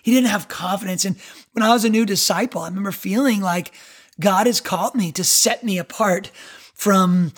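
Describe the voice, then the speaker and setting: A man, a preacher, talking earnestly about faith on a podcast, with a raspy voice and many fillers. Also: sits high, normal speed. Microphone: podcast microphone.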